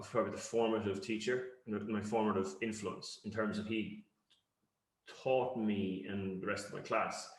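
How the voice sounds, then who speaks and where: A middle-aged Irish man with a Cork accent answers interview questions conversationally, reflective and halting as he rephrases his thoughts. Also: fairly low pitch. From Cork, Ireland. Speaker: interview guest, company founder. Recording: roomy, echoey sound.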